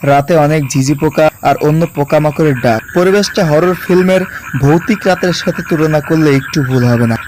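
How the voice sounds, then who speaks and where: A man narrates a scripted horror story. His voice sits a little high, over faint background noise. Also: a little mellow, poor audio.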